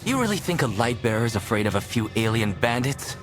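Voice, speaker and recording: A man's voice: mid-range voice, big pitch swings, a little fast, over music, lightly acted. An actor playing a cocky, impatient young male fighter in a video game, with a brash manner. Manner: brash, dismissive. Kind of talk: video game cutscene dialogue.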